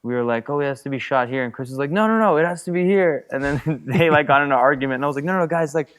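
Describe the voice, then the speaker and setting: A man's voice: fairly high pitch, very fast, clear, resonant tone. A young man rambling as a guest on a casual podcast interview, packing his talk with fillers and going off on tangents. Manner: rambling, full of "like", chuckling.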